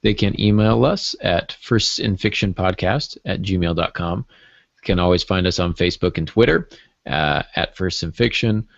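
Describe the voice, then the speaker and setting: Low, gravelly man, drily joking. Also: moderate pace, no room sound. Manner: joking, dry humour. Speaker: writer and podcast co-host. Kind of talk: casual podcast chat. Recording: dull, dry lo-fi online-call audio.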